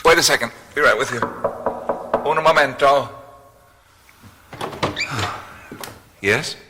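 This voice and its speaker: An actor playing a bewildered, wisecracking adventurer in a radio play, with halting, wry exclamations. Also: male voice, mid-range voice, lightly acted. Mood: groggy, wry. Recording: studio radio play with loud music. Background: loud music and effects.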